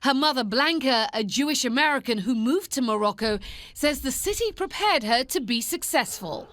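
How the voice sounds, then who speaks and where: A woman's voice: sits high, animated, normal speed, fairly bright tone, dry recording. A woman reporter reading scripted narration for a news profile.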